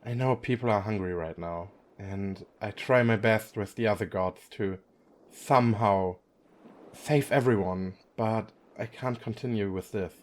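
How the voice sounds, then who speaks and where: A man acts out a gentle, weary young femboy sea god in an ASMR roleplay. He talks slowly in a low-pitched, soft voice, hesitant and kind, with a playful streak. The delivery is intimate, with many pauses. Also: close to an everyday voice. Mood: weary, troubled. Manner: soft, hesitant, with frequent pauses and small chuckles.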